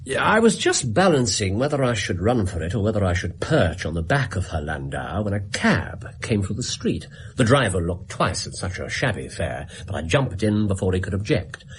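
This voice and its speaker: An actor playing a brilliant Victorian detective in a radio drama, with an English accent and precise, dramatic storytelling. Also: male voice, lowish voice, sing-song, normal speed, few pauses, husky, full character voice. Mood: absorbed, dramatic. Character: brilliant theatrical male detective in Victorian London.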